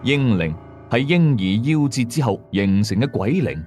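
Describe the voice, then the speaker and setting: A man's voice: mid-range voice, expressive, normal speed, a little mellow, close to an everyday voice. A man playing a veteran exorcist who narrates his ghost cases in Hong Kong Cantonese, explaining and moralizing to listeners in a radio horror story. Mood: ominous. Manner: explanatory, conversational asides to listeners. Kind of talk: scripted first-person horror storytelling. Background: music.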